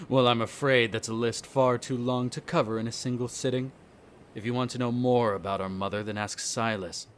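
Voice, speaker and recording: A man acting a haughty, bitter centuries-old vampire noble in an audio roleplay, speaking in a formal, measured, condescending way. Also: mid-range voice, fairly even intonation, a little hiss or hum, close to an everyday voice. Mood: musing, wry. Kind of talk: scripted roleplay audio drama monologue.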